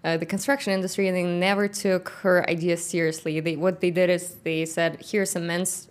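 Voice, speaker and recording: A middle-aged woman with a non-native English accent, a company founder in a casual interview, talking thoughtfully with many pauses. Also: lowish voice, flat delivery. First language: not English; grew up in Kazakhstan, speaks Russian. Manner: thoughtful, frequent "um" pauses, light laughter. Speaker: guest, founder of a workwear boot company.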